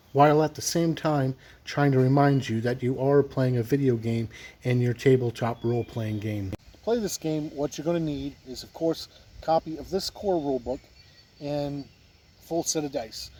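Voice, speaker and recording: A man giving an unhurried, deliberate review talk to camera, pausing often mid-sentence. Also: medium pitch, warm tone. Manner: deliberate, halting delivery with pauses mid-sentence. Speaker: tabletop game reviewer. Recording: windy noise, outdoor-sounding ambience. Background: wind noise.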